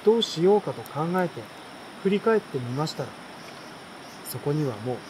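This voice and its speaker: A young adult man reading a bedtime story aloud in a relaxed, unhurried way, with pauses between short phrases. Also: a little high, background noise. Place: outdoors at night beside a campfire. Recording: campfire, insects and stream behind voice.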